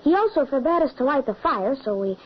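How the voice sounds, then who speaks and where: A woman's voice: high-pitched, expressive, fast. A woman playing an earnest, haunted eleven-year-old boy in an old-time radio drama, on a muffled, hissy recording. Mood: somber, recounting.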